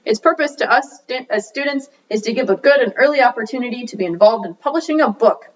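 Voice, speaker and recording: Young woman, higher-pitched voice, student presenter, scripted explanation, puppet show dialogue, slightly muffled recording. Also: fast talker, far from the mic. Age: young adult.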